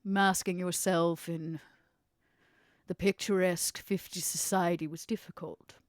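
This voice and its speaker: Woman playing Vera Volkov, low-voiced scheming vampire. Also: flat delivery, no room sound, studio quality, lightly acted. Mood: grave, confiding. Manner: theatrical, deliberate, insinuating. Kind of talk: in-character tabletop roleplay.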